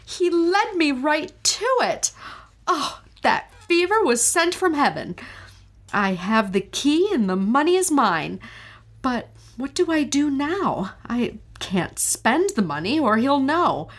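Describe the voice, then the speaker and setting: A woman's voice: high-pitched, big pitch swings, slow pace, heavily acted. A woman playing a haughty scheming widow. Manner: haughty, imperious. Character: greedy scheming widow of means, cold. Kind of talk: old-time radio drama acting.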